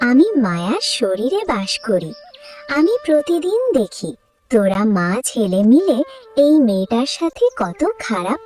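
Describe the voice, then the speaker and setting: A woman's voice: medium pitch, darkish tone. A woman voicing a young, indignant female ghost in a dramatic cartoon fairy tale. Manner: dramatic, threatening when angered.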